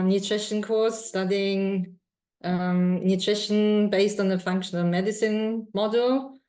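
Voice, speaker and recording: A woman from Austria with an Austrian accent, speaking as a guest nutritionist in a calm, measured and reflective way. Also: medium pitch. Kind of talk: interview answers.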